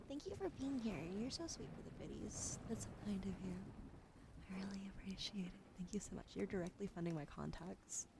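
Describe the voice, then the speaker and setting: A young woman whispering softly and slowly to viewers on an ASMR stream, close to a sensitive microphone at her desk. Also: medium pitch, creaky, noisy background. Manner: soft unvoiced whisper, slow and soothing.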